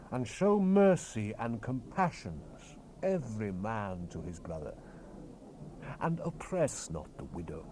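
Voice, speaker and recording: A man's voice: on the low side, dark tone. Man voicing a solemn, wide-ranging prophet on hissy tape. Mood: stern, grave. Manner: grave, declamatory, emphatic phrasing. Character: solemn, commanding male Hebrew prophet relaying God's word. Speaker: scripture narrator. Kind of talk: dramatized Bible reading. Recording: hissy unprocessed cassette tape rip.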